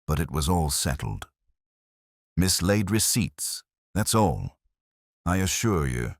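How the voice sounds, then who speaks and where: A man's voice: low voice, very animated, slow pace, no echo, studio quality, full character voice. Nervous, evasive treasurer, acted by a man. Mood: agitated, evasive. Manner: agitated, over-explaining. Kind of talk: audiobook mystery character voice.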